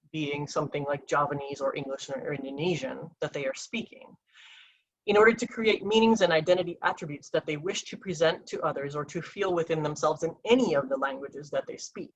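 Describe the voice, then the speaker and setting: A researcher giving an academic slide presentation about a case study, reading carefully in a measured way with occasional restarts and ums. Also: mid-range voice, fairly bright tone, husky, rough recording.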